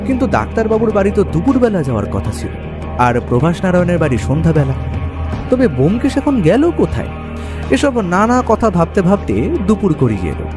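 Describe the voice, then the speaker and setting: Bengali guy narrating detective story, dramatic n animated, highish voice, music under it.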